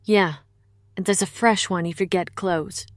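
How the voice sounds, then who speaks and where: A woman's voice: mid-range voice, fairly even intonation, unhurried, dry recording, studio quality, natural voice. An actress playing a guarded, blunt woman investigator in an audio drama, speaking warily. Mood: hostile.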